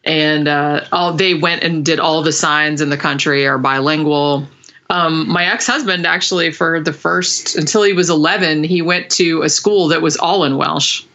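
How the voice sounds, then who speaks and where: A woman's voice: deep voice, fairly even intonation, moderate pace, a bit bright. A woman co-hosting a podcast in casual chat, rambling along with lots of fillers and little giggles. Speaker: podcast co-host.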